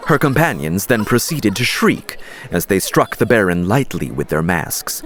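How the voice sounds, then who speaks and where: Male narrator for fiction audiobook: lower-pitched, lively intonation, storytelling read. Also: moderate pace, noisy background.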